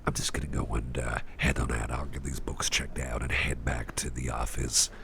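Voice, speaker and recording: A man playing a doting, genteel businessman boss in an ASMR roleplay, speaking in a soft, breathy, gravelly half-whisper, unhurried, gently repeating phrases. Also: extremely low pitch, little pitch movement, background noise. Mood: fond, gentle. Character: doting, genteel businessman boss, smooth-talking antique hunter. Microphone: close microphone.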